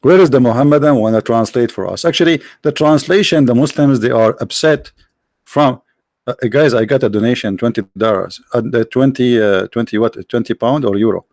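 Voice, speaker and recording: A man's voice: medium pitch, a little mellow, no room sound. Sarcastic man, non-native speaker, very dry sound. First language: Arabic. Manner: sarcastic, halting with many fillers, breaks into mock singing. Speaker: live-stream host. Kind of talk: mocking religious debate stream.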